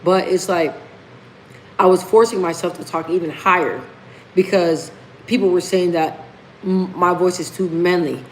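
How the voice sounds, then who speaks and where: A woman's voice: lowish voice, unhurried, slight background noise, low-quality recording. A woman hosting a casual live stream, talking emphatically, repeating key phrases and reading viewer comments aloud. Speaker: livestream host.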